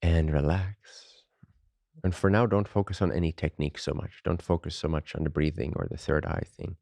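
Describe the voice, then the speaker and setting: A man leading a guided meditation as a teacher, unhurried and informal, speaking in short phrases with pauses between them. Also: low-pitched, steady tone, gravelly, darkish tone, no echo.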